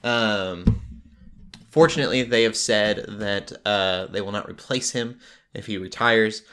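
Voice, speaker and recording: Youngish guy hosting a podcast, kinda low voice, just chatting about movies. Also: a little slow, noisy background. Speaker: podcast host. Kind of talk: casual movie discussion.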